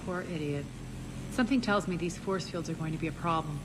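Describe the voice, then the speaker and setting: An actress playing a ruthless, proud alien crime boss in a video game, curt and commanding with a sarcastic edge. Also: female voice, low-pitched, a little fast, background noise, lightly acted. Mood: curt, urgent. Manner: commanding, curt, hard-edged. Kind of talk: video game cutscene acting. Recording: loud music over the voice.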